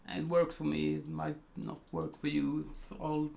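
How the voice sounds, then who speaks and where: A man's voice: a little high, monotone, moderate pace, vocal fry, warm tone. A middle-aged man from Sweden with a Swedish accent, talking through a photo editing tutorial at his computer and thinking aloud with many ums and uhs. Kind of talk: casual screen-recorded tutorial.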